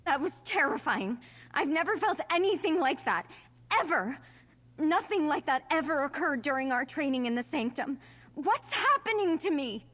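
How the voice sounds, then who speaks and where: Nervy young mage girl in a video game, really high voice, long reverb. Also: big pitch swings, moderate pace, fairly bright tone. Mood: shaken. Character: anxious young woman frightened of her fire magic. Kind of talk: video game character dialogue.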